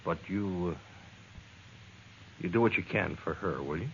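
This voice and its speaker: A man's voice: unhurried, fairly bright tone. A grim, vengeful jailed man. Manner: low, weary and grim. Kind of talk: 1950s radio drama acting. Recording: muffled old radio, faint background hiss.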